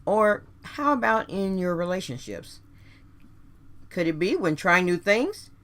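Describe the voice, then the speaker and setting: A woman presents a scripted motivational talk as a radio host. Her medium-pitched voice rises and falls widely as she speaks. She phrases things deliberately, leaning on key words and posing questions to the listener. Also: sing-song, fairly bright tone.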